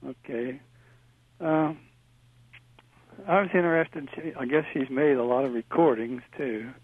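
A man's voice: medium pitch, breathy edge, no room sound. Older man calling in on a tinny phone line. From Indiana. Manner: halting, with many fillers. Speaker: caller. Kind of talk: call-in radio chat.

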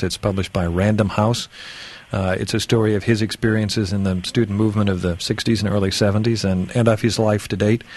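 A man's voice: on the low side, darkish tone. A man hosting a call-in radio show, asking long, wandering questions and reading out phone numbers. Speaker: radio host.

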